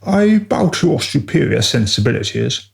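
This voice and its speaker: An actor playing a deferential, long-suffering royal adviser in a comic radio play, formal and hesitant with dry disapproval. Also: male voice, mid-range voice, big pitch swings, normal speed, creaky. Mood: tactful, exasperated.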